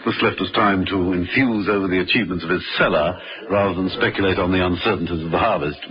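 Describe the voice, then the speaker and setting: A man in a vintage radio drama playing a suave, witty British gentleman adventurer who teases urbanely with drawn-out pauses. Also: sits low, crowd noise, lightly acted, low-quality recording. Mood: poised. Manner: urbane, teasing, drawling pauses.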